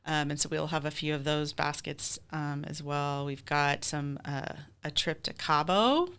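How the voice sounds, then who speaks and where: A woman's voice: low voice, a little slow, fairly bright tone, clear, resonant tone. A woman speaking conversationally as a theater group president being interviewed, explaining things in chatty, run-on detail.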